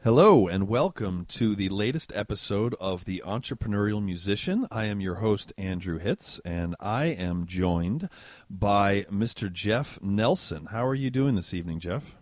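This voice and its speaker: Male podcast host, low register, dry close-mic delivery, playful and loose. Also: unhurried, no room sound. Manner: joking, relaxed, many fillers. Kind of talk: casual interview chat.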